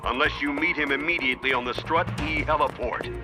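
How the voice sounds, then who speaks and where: An actor playing a stern, businesslike older colonel in a video game, briefing an agent. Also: male voice, a little high, a little fast, natural voice. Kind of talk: dramatic video game voice acting. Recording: loud music under the voice.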